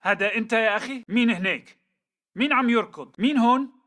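A man's voice: very high voice, fast talker. A man with a Levantine Arabic accent playing a fierce, defiant insurgent fighter in video game battle chatter. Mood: low, watchful. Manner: urgent, forceful shouting.

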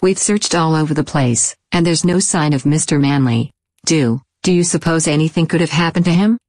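A man's voice: on the high side, moderate pace, darkish tone, no room sound, pitched above the performer's own voice. A man voicing a frightened, anxious society mother in an audiobook, with a breathless, pleading manner. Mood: worried.

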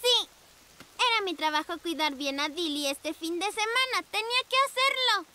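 Very high-pitched little girl pug. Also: moderate pace, a little hiss or hum, heavily acted. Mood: earnest. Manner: childlike, earnest, expressive. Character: earnest little girl pug, sweet and excitable. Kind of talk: preschool cartoon dubbing. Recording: music behind the voice.

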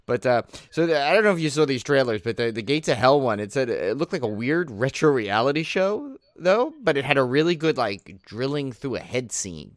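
A man's voice: mid-range voice, a little fast, hardly any pauses, no echo, studio quality. A man co-hosting a casual podcast on horror releases, rambling and joking. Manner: rambling, jokey, lively. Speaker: podcast co-host.

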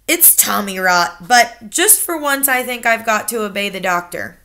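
A woman voicing a fierce, blunt elderly grandmother who scolds forcefully. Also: mid-range voice, lively intonation, moderate pace. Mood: dismissive.

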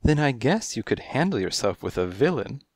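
A man's voice: medium pitch, very animated, normal speed, dry recording, voice pushed up. A man voicing a flirtatious, dainty female cat who gushes and speaks breathily, in a storybook reading. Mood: coy. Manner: breathy, gushing, coy.

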